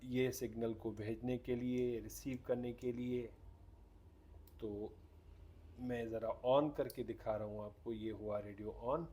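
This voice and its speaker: A man's voice: medium pitch, steady tone, slow, mellow, slight background noise. A man hosting a casual, hands-on product demonstration, explaining things step by step. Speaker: host demonstrating a radio product.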